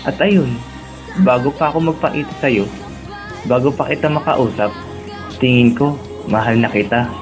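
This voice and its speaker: A man with a Filipino accent playing a gentle, lovelorn young man who speaks earnestly and tenderly in a romantic radio drama. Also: mid-range voice, moderate pace, long pauses, lightly acted. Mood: loving confession. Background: music.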